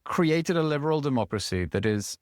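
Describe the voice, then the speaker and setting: Male interviewer, expressive melodic delivery, dry close mic. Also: a little high, brisk, no room sound. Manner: animated, acts out arguments in quoted voices. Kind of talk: podcast interview.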